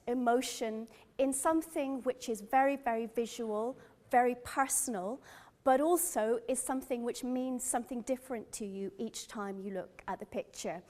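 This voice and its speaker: A woman's voice: a little high, a little mellow. Enthusiastic Northern Irish woman curator. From Northern Ireland. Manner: earnest, enthusiastic, personal. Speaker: museum curator. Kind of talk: gallery lecture. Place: gallery or lecture room.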